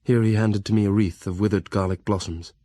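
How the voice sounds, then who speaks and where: A man's voice: on the low side, fairly even intonation, brisk, mellow, no room sound, studio quality, lightly acted. An actor playing an earnest young Victorian solicitor, narrating his journal soberly in an audio drama.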